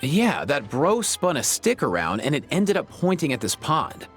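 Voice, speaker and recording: A man playing an easygoing, upbeat young bro in a video game, with a breezy, slangy, slightly husky delivery. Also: a little high, huge pitch swings, moderate pace. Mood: playful. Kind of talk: animated video game acting. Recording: faint game music under the voice.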